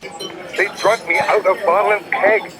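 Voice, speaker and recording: A man acting as a gruff, exasperated space bartender, complaining over a call in a sci-fi satire audio drama. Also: high voice, steady tone, measured pace, over music, low-quality recording.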